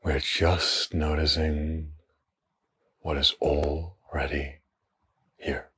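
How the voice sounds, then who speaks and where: A man guides a meditation in an exceptionally deep voice with a breathy, husky grain. His intonation stays very even and his delivery is slow and hushed. He sets out short phrases and leaves long pauses between them.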